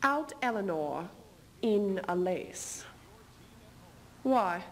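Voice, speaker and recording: A young woman playing a weary, proud, sharp-tongued queen in a dramatic stage reading. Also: medium pitch, expressive, very slow, slight background noise. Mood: wounded, biting. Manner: dramatic, biting. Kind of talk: theatrical duo interpretation, stage reading. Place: indoor stage in front of curtain.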